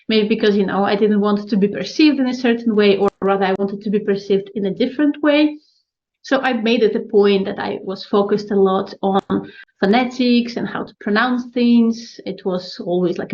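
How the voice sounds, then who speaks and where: Ukrainian woman guest, middle pitch, reflective and loose, muffled recording. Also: darkish tone. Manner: thoughtful, many fillers and restarts, laughs lightly. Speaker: podcast guest. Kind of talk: casual interview talk. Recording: dull lo-fi audio.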